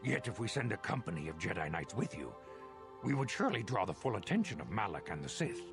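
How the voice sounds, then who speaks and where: Deep, gravelly elder Jedi master. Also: male voice, very animated. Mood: grave, deliberate. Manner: formal, solemn, measured oratory. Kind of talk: video game cutscene dialogue. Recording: music behind the voice.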